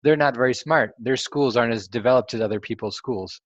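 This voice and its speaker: A man's voice: mid-range voice, a little fast, dry recording, dull audio. A young man hosting a casual chat, asking curious questions and laughing easily. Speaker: host.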